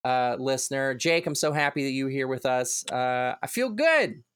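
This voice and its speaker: A woman's voice: a bass voice, animated, normal speed, clean tone. Giggly thirty-something woman hosting a podcast, voice bouncing all over the place. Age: 30s. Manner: playful, giggly, sing-song. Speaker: podcast co-host. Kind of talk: casual chat.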